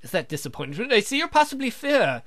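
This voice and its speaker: A man voicing a sardonic, theatrical vampire count for a visual novel game, mocking and campy in a drawn-out way. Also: medium pitch, very animated, a bit bright. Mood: taunting.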